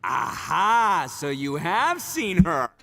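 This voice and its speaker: A man's voice: high-pitched, big pitch swings, slow, vocal fry, fairly bright tone, slight background noise, exaggerated voice. A man playing a brash, boisterous young gang boss in a video game, with a swaggering, slangy, theatrical delivery. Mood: gloating. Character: brash boisterous young male gang boss, eager self-styled rescuer. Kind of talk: animated video game voice acting.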